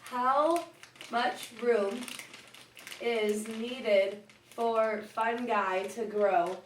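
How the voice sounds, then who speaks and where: A woman's voice: fairly high pitch, steady tone, extremely slow. A young woman trading corny jokes during a puppet show in a casual, teasing, blunt way, with lots of giggling. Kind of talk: casual joke swapping. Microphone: far camera. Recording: roomy home video from a far camera, faint noise.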